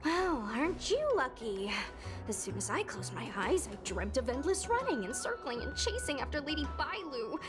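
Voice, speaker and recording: A woman's voice: high-pitched, sing-song, normal speed, music in the background. A woman voicing a sleepy, easygoing young female ghost hunter in a video game, with a drowsy, casually complaining delivery. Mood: weary, grumbling.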